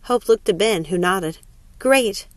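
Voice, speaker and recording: A woman's voice: mid-range voice, brisk, slight background noise. A woman narrating a novel aloud as an audiobook reader. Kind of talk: story reading.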